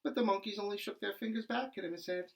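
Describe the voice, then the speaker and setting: A man reading a children's storybook aloud like a primary school teacher, animated and with dramatic emphasis. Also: sits high, vocal fry.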